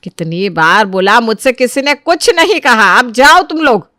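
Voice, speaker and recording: Woman playing a sharp, scolding older mother-in-law. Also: medium pitch, steady tone, brisk, no room sound, studio quality, lightly acted. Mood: stern, dismissive. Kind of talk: Hindi family audio drama acting.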